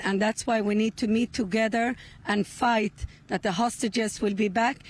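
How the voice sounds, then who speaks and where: A middle-aged woman from Sweden, giving earnest interview answers outdoors in simple, deliberate English. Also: medium pitch. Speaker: interviewee, hostage's relative. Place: outdoors near a rural kibbutz.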